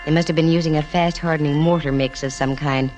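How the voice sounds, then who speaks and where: An actress playing a wisecracking, cool-headed private detective in an old radio mystery, dry and sardonic. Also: female voice, low voice, steady tone, quick pace, music in the background, natural voice, poor audio. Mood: narrating. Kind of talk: 1940s-50s radio mystery acting and first-person narration.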